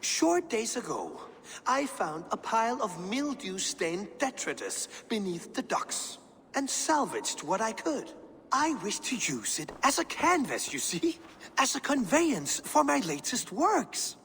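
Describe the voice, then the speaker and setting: An actor playing a pompous settler who sees himself as an artist, defending himself theatrically. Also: male voice, extremely high pitch, sing-song, a little slow, gravelly, a bit bright, exaggerated voice. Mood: indignant. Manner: pompous, self-righteous, theatrical. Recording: long hall reverb, faint noise.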